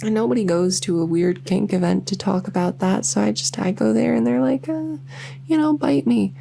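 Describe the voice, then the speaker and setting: A woman's voice: mid-range voice, normal speed, creaky, mellow, slight background noise. A woman voicing a lonely, socially awkward vampire who rambles enthusiastically in an ASMR audio roleplay. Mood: shy, lonely. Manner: rambling, enthusiastic info-dumping, many fillers. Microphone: close ASMR microphone.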